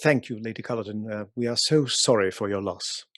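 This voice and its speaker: A man's voice: lowish voice, a little fast, a bit bright, dry recording, clean studio sound, close to an everyday voice. A man playing an aggressive, self-assured police inspector questioning formally in a period courtroom radio play. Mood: solemn. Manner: formal, pressing, theatrical.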